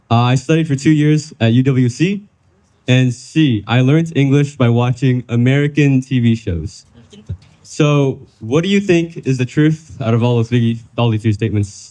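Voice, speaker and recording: A man's voice: medium pitch, darkish tone. A young man from Vietnam presenting at a workshop, chatty, coaxing the audience to guess. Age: young adult. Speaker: workshop speaker.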